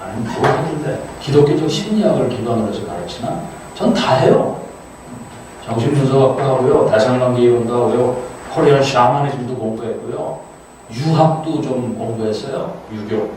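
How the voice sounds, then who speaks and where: A man's voice: mid-range voice, animated, normal speed, darkish tone, slight background noise. An older man giving a casual, joking guest lecture, chatty and self-deprecating. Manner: chatty, self-deprecating, quotes others in playful voices. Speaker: guest lecturer, counseling professor. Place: large auditorium. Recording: echoey hall, voice from far off.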